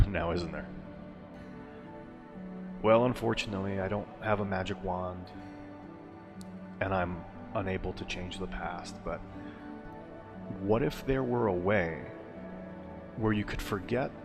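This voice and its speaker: A man narrating a guided meditation in a soothing, unhurried way, with deliberate phrasing and pauses. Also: deep voice. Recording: long reverb on the voice. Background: music.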